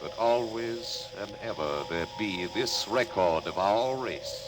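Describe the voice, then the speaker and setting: An actor playing the ancient last survivor of a subatomic race, speaking in solemn, archaic, ceremonial phrases in a 1950s radio drama. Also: male voice, fairly low pitch, expressive, takes it slowly, poor audio. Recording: old radio broadcast with music behind.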